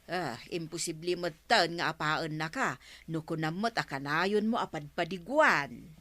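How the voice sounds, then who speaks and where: A woman's voice: low voice, unhurried, a bit bright, no echo. An actress playing a put-upon, chatty village woman in an Ilocano comedy radio drama, with an Ilocano accent and plaintive interjections. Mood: skeptical.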